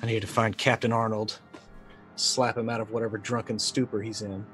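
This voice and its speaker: A middle-aged man playing a tabletop roleplaying game, improvising casually and haltingly as he thinks aloud. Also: on the low side, flat delivery, fairly bright tone, faint music behind.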